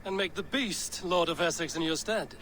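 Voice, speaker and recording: Grave Viking warrior, noisy background. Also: male voice, on the high side, sharp tone. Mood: blunt, confident. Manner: measured, grave, blunt. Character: stoic Viking warrior, a blunt, grave sellsword. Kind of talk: video game cutscene acting. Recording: clear background noise.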